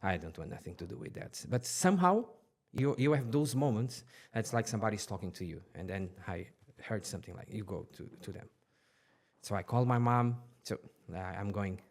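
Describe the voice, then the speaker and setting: A man in his forties from Portugal, a podcast guest telling his life story in an earnest, halting way with many restarts. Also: on the low side, expressive.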